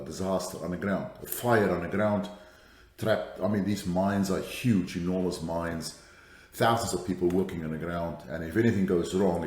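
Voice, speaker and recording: A man's voice: low-pitched, a little mellow, echoey room. A middle-aged man from South Africa with a light South African accent, a podcast guest who speaks thoughtfully with fillers and restarts. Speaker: guest, safety strategist. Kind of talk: podcast interview.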